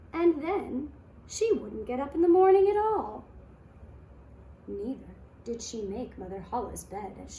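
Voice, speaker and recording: A woman narrating a fairy tale for children in a deliberate, expressive storytelling manner. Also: on the high side. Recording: echoey room with faint noise.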